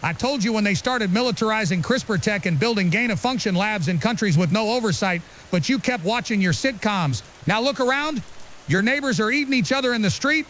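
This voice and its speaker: A man's voice: high voice, a little fast. A man playing an angry, paranoid conspiracy broadcaster in a zombie apocalypse, delivering a defiant, accusing dramatic monologue. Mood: accusing, scornful. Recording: hissy recording with steady rain noise. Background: rain and hiss.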